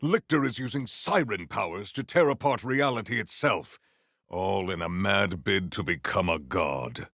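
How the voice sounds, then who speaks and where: A male actor voicing a proud, potent warrior in a video game, with formal, grandiose, deadpan delivery. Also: sits low, unhurried, vocal fry, no echo, clean studio sound, full character voice. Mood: brisk, resolute. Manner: formal, stilted, grandiose diction. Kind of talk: scripted video game character lines.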